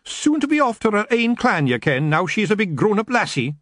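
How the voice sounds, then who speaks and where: A man's voice: high voice, very animated, fairly quick, a bit bright, no echo, studio quality, pitched above the performer's own voice. A man voicing a tiny, boastful male fairy clan chief with a hearty Scottish brogue. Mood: proud.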